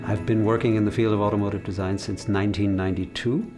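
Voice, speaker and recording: A man in his fifties speaking in a measured, reflective way with frequent hesitations, giving a documentary interview about his career as a car designer. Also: on the low side, flat delivery, over music. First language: English.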